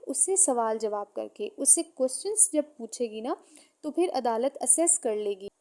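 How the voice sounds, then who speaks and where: A woman with a high, soft-edged voice and a Pakistani accent teaches in a didactic lecture style. She reads out each point, then explains it step by step, moving between two languages as she goes. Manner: didactic, switches between Urdu and English. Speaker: law lecturer.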